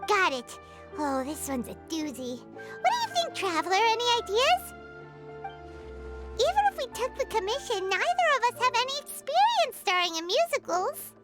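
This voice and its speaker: A little fairy companion in an anime-style game. The voice is squeaky, childlike and extremely high, with lively, exaggerated swings and a perky, chatty manner. Music plays clearly behind it. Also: female voice, moderate pace. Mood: pondering. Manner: bubbly, exaggerated, speaks of self by name.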